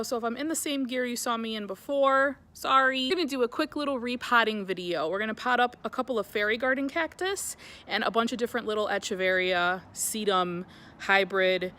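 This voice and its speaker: A woman's voice: fairly high pitch, bright, forceful tone. A woman hosting a casual, chatty hobby vlog, talking informally and gushing as she goes. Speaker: vlog host. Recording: clear background noise.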